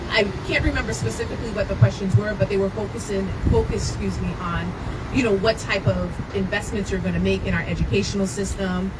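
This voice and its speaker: A woman's voice: medium pitch, fairly even intonation, fairly quick. Non-native woman candidate fielding press questions, earnest with fillers, recorded from afar outdoors by traffic. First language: not English. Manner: earnest, many fillers and restarts. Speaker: mayoral candidate. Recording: loud noise, far from the mic.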